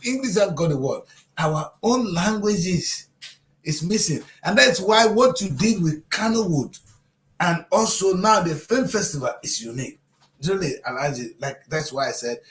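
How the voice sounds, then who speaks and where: A man hosting a TV talk show, animated, with quick interjections and affirmations. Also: high-pitched, roomy sound. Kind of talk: conversational TV interview.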